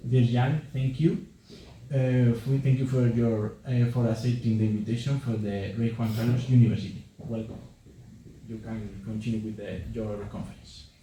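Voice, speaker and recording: Man, event host, formal introduction, halting delivery, mellow tone, echoey hall, faint noise. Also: mid-range voice, fairly even intonation. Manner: halting, stumbles over names. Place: large echoing lecture hall.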